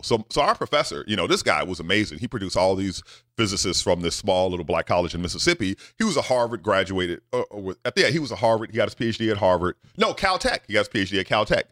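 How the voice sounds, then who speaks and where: A middle-aged man from the United States, a scientist guest telling his story in an animated way, often checking in with "right?". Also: sits low, no echo, clean studio sound. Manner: animated, with many repeated "right?" asides. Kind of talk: storytelling in a podcast interview.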